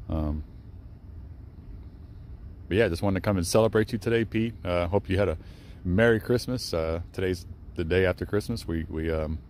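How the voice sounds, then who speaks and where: A deep-voiced young man records a casual travel vlog outdoors in a cemetery. He rambles with plenty of fillers and restarts, keeping his tone hushed and respectful. Faint outdoor noise sits behind the camera-mic sound. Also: normal speed, long pauses. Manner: rambling, with many fillers and self-corrections. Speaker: travel vlogger. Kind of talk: casual vlog monologue at a graveside.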